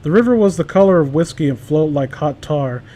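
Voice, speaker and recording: A man's voice: fairly high pitch, animated, a little fast, warm tone, a little hiss or hum. A man chatting casually among friends and reading stories aloud, stumbling over words as he reads. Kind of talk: casual group banter and amateur story reading.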